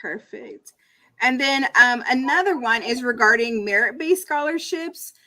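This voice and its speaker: A woman's voice: on the high side, expressive, a little slow, sharp tone. A woman moderating an online information session, reading out audience questions with frequent ums. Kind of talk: webinar moderation.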